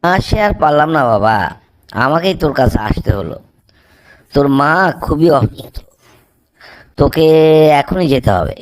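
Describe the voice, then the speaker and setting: A man's voice: fairly high pitch, expressive, a little slow, long pauses. A man playing a grave, reproachful older uncle in an amateur cartoon. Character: older paternal uncle, grave bearer of bad news.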